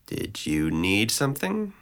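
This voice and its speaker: A man's voice: lowish voice, wildly swooping pitch, measured pace, creaky, a bit bright, full character voice. A man playing a depressed, sardonic twenty-one-year-old in an audio drama, with a dry, articulate delivery. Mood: sardonic, bitter. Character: sardonic, depressed young man, resentful and withdrawn.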